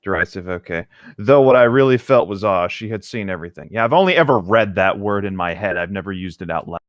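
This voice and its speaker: A man's voice: sits low, animated, quick pace, no echo, lo-fi sound. A man co-hosting a podcast, chatting casually and reading passages of a novel aloud. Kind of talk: casual banter and read-aloud.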